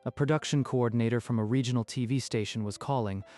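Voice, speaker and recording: Medium-pitched male story narrator. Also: steady tone, fast, dark tone. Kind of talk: scripted dramatic story narration. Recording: music behind the voice.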